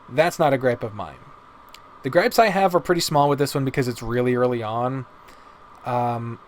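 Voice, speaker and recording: Sweary, rambling man over background noise. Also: medium pitch. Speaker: video host reviewing visual novels. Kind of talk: casual opinionated commentary.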